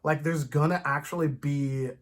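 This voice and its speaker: Man in casual, animated fan chat. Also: on the high side, normal speed. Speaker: co-host of a fan discussion show.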